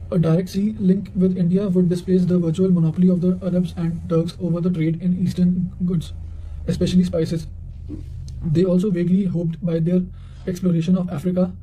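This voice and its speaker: A man's voice: sits high, dark tone, dull audio. A man reading history study notes aloud in a careful delivery, occasionally stumbling over words. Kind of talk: reading quotations aloud for exam revision.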